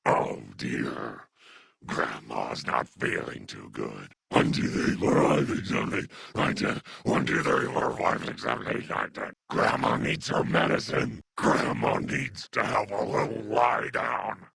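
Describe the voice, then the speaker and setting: This is a video game's monstrous old grandmother, acted out by a man, doting and unhinged. The voice is extremely husky and breathy, full of gravel, and it swings wildly up and down in pitch. Sweet cooing pet names sit right beside scolding threats. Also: very animated, a little slow, hardly any pauses, fairly bright tone, no echo, clean studio sound, full character voice. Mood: weary, unwell. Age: older. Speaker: video game companion character. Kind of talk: video game character acting.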